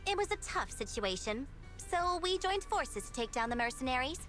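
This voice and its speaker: A woman voicing a brash, teasing young mercenary leader in an anime-style game, with a sassy, expressive delivery. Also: high-pitched, normal speed, soft background music. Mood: explaining.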